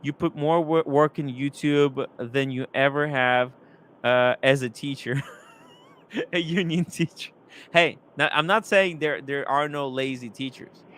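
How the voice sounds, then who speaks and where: A young man hosting a live stream, rambling and animated, reading chat comments aloud. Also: mid-range voice, a little slow, clear, resonant tone.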